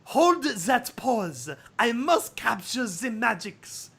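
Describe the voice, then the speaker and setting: Man putting on a high, gushing girl photographer. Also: sing-song, vocal fry, pushed and bright, breathy edge, heavily acted. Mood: admiring, gushing. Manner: theatrical, drawn-out admiring delivery. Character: pretentious, gushing female teenage photographer.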